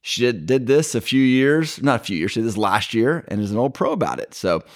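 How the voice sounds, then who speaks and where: A man's voice: mid-range voice, big pitch swings, fairly quick, no echo, clean studio sound. A man hosting a casual podcast, talking in a loose, rambling way with fillers and restarts. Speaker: podcast host.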